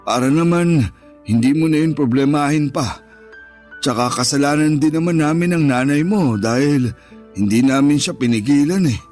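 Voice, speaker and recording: An actor in a Filipino radio drama playing an apologetic, gentle older father with a meek manner and a Filipino accent. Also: on the high side, moderate pace, faint music behind, lightly acted. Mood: conciliatory, serious. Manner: meek, conciliatory.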